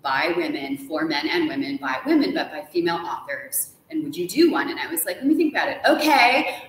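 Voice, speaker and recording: A woman's voice: lowish voice, very animated, brisk. A woman in her forties, an enthusiastic interview guest, telling stories casually with a playfully dramatic flair. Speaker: guest author. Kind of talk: casual animated storytelling in a live interview. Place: large ornate hotel room, indoors. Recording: echoey room with faint background noise.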